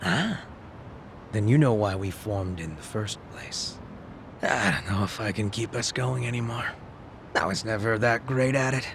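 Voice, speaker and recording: A man voicing a weary gang leader, tough but soft-hearted, in a game cutscene. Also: lowish voice, measured pace, noisy background. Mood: tired. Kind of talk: video game cutscene acting. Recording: game audio with music behind.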